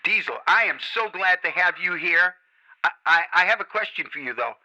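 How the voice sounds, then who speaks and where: A man hosting a radio show with a strikingly bright, cutting tone and a slightly high voice. He rolls out smooth, salesy DJ patter, pitching deals to his listeners. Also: expressive, brisk, low-quality recording. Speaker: radio host. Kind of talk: hyped radio show chat.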